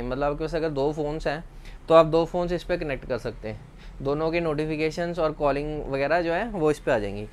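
An Indian man in his thirties presents a product explainer vlog. His voice sits slightly high for a man, with a very clean, resonant tone. He talks informally and explains as he goes, as a shop seller would to a customer. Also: clean tone. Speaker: vlog host and bike accessories seller. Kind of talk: product explainer vlog to camera, Hindi-English mix. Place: small indoor accessories shop.

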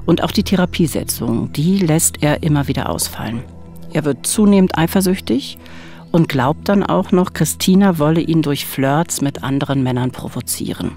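A woman's voice: sits low, expressive, moderate pace, a little mellow, soft background music. A woman co-hosting a true-crime podcast, telling the story at a close studio microphone. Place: small radio studio.

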